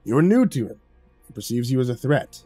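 A man voicing a calm, formal creature keeper who speaks in measured, unhurried sentences, in a storybook narration. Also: mid-range voice, slightly rough, soft background music. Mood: calm, instructive.

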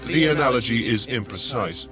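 An actor voicing a coolly logical artificial intelligence adviser in a sci-fi audio drama, precise and formal in speech. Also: male voice, medium pitch, lively intonation, moderate pace, music in the background, close to an everyday voice. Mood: cool, pedantic.

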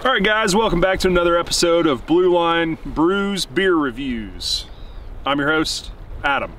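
A man's voice: a little high, lively intonation, normal speed, fairly bright tone. A man in his thirties hosting a vlog, chatting casually and informally to the camera. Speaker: vlog host. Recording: distant mic, clear background noise.

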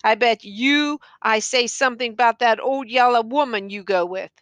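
A woman reader puts on a young condemned man in an audiobook novel. He is sullen and bitter, taunting his visitor in rural Southern vernacular. The sound is forward, bright and close, with almost no room. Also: fairly high pitch, normal speed, no echo, close to an everyday voice.

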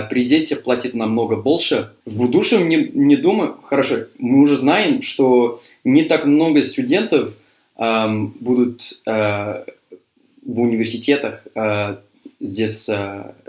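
A young man who grew up in Cyprus and speaks English as his first language talks through his answers in a learned language. He hesitates and restarts often, in a mid-pitched voice. The room around him is echoey. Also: unhurried, darkish tone. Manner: hesitant, many fillers and restarts. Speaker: university student.

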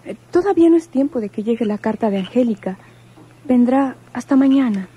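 A woman's voice: a little high, expressive, brisk, husky, phone-quality sound. A woman playing a modest, earnest young village woman, wary but firm, in a radio soap opera. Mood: shy, subdued. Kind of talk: Spanish-language radio soap opera acting.

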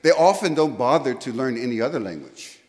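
A man's voice: mid-range voice, lively intonation, fairly quick, a little mellow. A middle-aged man from the United States giving a conference presentation into a handheld microphone, rambling and correcting himself, often checking in with "okay?". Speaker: conference speaker. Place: large lecture room, indoors. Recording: reverberant hall.

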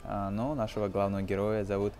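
A young man with a fairly low voice teaches English through a film. He explains casually and haltingly, dropping in English example phrases, with some music around him. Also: flat delivery, a little mellow. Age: 20s. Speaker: English teacher, video host. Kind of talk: casual lesson commentary on a film.

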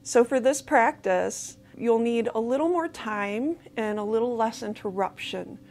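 Woman, American accent, meditation guide, soft slow delivery, warm low tone. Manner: slow, soft-spoken, calm guidance with pauses. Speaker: mindfulness guide. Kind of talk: guided body scan meditation.

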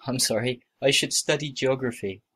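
A man acting out short scripted everyday dialogues for a listening test, with plain, expressive conversational reading. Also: mid-range voice, moderate pace, slightly rough.

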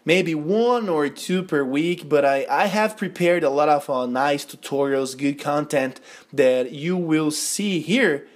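A man's voice: a little high, animated, takes it slowly. Brazilian-accented man reviewing a game. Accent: Brazilian Portuguese. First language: Portuguese. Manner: enthusiastic, casual with hesitant restarts. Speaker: gaming video host.

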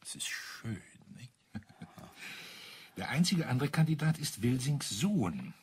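A man playing a self-assured, wry colonel in a crime radio play, husky and breathy, teasing and savouring his account. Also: mid-range voice, sing-song, slow, darkish tone, heavily acted. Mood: amused relish. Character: wry, self-assured male colonel relishing a murder mystery.

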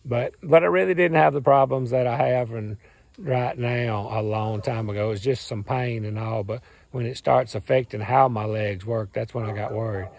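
A hoarse man in his thirties with a Southern US accent, rambling cheerfully in a folksy way to a phone camera while standing outdoors in the woods. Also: medium pitch, fairly even intonation, moderate pace, a little mellow. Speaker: farm and hunting channel host. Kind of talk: casual vlog talk to camera. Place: outdoors in woods beside a truck. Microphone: phone camera at arm's length.

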